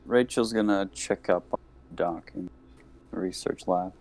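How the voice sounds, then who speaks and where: A man's voice: deep voice, measured pace, a little mellow. A man playing a tabletop game who speaks briefly and hesitantly. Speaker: player. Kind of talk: casual tabletop game chat.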